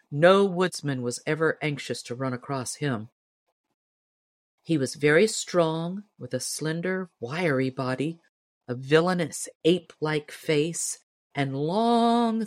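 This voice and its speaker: A woman's voice: sits low, no echo. Woman reading a tall tale, close and dry. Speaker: audiobook reader and storyteller. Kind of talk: scripted storybook narration of a tall tale.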